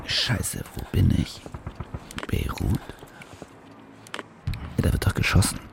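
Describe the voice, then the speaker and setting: An actor playing a panicky, cynical war reporter in a radio drama, muttering to himself and swearing in agitation. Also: male voice, slow pace, noisy background, close to an everyday voice. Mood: rattled self-talk. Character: panicky, cynical male war reporter, rattled and foul-mouthed. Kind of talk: radio drama acting with inner monologue.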